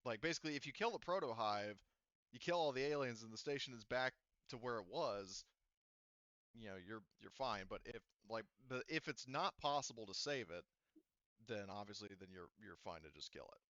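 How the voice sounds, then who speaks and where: A man's voice: on the low side, lively intonation, moderate pace, a bit bright, no echo, dull audio. A man running a tabletop roleplaying game as game master, explaining casually in a hedging way and repeating himself.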